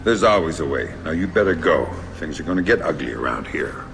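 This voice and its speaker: Grim, deep-voiced covert operative. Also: male voice, fairly quick, gravelly, heavily acted. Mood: grim, businesslike. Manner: terse, menacing, deadpan. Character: grim, gruff male covert operative, cold interrogator. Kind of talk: video game cutscene acting. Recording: music behind the voice.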